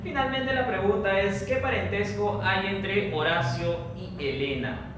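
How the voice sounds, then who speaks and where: A man teaching a recorded online training lesson for teachers, explaining a logic problem slowly and step by step. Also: high voice. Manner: slow, methodical, explains step by step. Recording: echoey room, distant mic, noisy.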